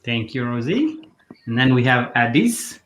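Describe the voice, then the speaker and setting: A man hosting a live online talk show, speaking earnestly with halting phrases and fillers. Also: medium pitch, big pitch swings, fairly bright tone. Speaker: host.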